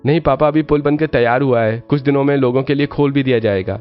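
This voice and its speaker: A man's voice: mid-range voice, fast talker, highs cut off, faint music behind, pitched above the performer's own voice, natural voice. A man voicing the eager grown son of a village family in a cartoon story. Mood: excited.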